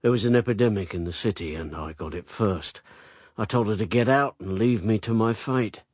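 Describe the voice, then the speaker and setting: A man's voice: fairly low pitch, fairly quick, darkish tone, no echo, clean studio sound, natural voice. An actor playing a coarse, bitter man with a blunt, sardonic way of talking in a radio drama. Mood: bitter, confessional. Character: coarse, bitter male rival and lover, self-styled common man.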